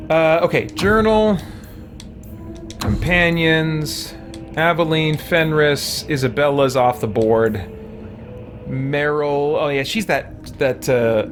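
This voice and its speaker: Male gamer for casual playthrough commentary, meandering think-aloud talk, audible game soundtrack underneath. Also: mid-range voice. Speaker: streamer playing a role-playing game. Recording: game music behind the voice. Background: video game music.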